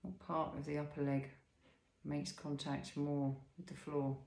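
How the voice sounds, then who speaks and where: Creaky-voiced man guiding relaxation, roomy sound. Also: fairly high pitch, level tone. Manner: soothing, unhurried, with pauses and gentle questions. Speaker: Pilates instructor leading a guided body scan. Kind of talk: guided relaxation instruction.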